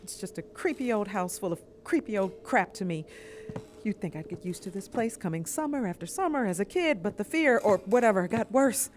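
An actress plays a young woman in an audio drama, anxious and quick to defend herself. Her talk rambles casually and sarcastically, with very lively intonation at a middling pitch. There is faint background noise. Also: lightly acted. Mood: nervous, sardonic. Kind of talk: naturalistic audio drama acting.

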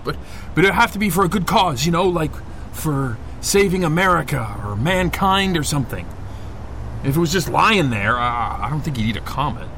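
A loyal, dim slacker pal muses haltingly in a comic mock interview. The pitch is on the high side and animated, over faint outdoor noise. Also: male voice. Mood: musing, unsure. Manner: halting, casual, earnest dimwitted musing. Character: superhero's loyal, rambling slacker best pal. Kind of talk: comedic audio drama, mock interview.

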